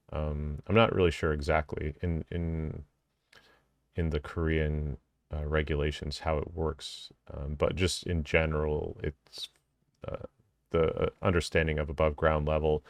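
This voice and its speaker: A man's voice: extremely low pitch, gravelly, no echo, clean studio sound. A man explaining drone rules in a casual video, thinking aloud with many ums and self-corrections.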